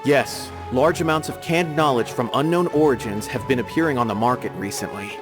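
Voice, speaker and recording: A man plays an earnest official in a fantasy game, courteous and formal as he explains. His voice sits at a middle pitch. Clear game music plays behind. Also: fairly quick, natural voice. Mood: polite. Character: polite, earnest male academy official. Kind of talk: video game voice acting.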